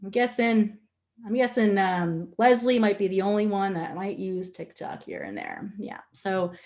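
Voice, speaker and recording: Animated Nova Scotian Canadian woman, chatty. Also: mid-range voice, a little slow. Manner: animated, candid, chatty, jokey. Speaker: social media instructor. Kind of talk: informal webinar teaching with casual asides.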